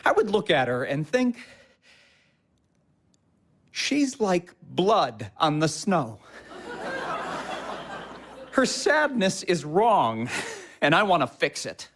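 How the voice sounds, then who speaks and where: Earnest young husband, lively intonation. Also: male voice, sits high, slow, long pauses, slight background noise, heavily acted. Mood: wistful, confiding. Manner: hesitant, self-correcting, apologetic. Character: earnest, bookish young husband, eager to comfort. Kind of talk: naturalistic audio play dialogue.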